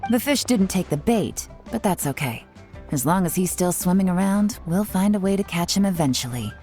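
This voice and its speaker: Woman acting a calm shrewd lady boss type for a game, lowish voice, in control, music underneath. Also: close to an everyday voice. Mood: calm, reassuring. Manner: calm, measured, authoritative. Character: cool, composed, shrewd commanding woman investigator.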